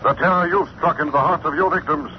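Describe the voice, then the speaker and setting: An actor playing an unseen, mocking, commanding avenger with a portentous delivery in a 1940s radio drama. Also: male voice, fairly high pitch, steady tone, close to an everyday voice. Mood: ominous, mocking. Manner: slow, portentous, taunting. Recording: noisy, muffled old 1940s radio recording.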